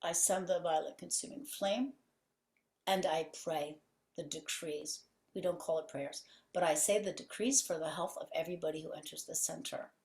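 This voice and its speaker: A woman's voice: low voice, fairly even intonation, normal speed, a little mellow. Fervent woman in a roomy space. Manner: fervent and emphatic, piling up repeated phrases. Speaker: podcast guest, healing center owner. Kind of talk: conversational interview. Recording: roomy echo.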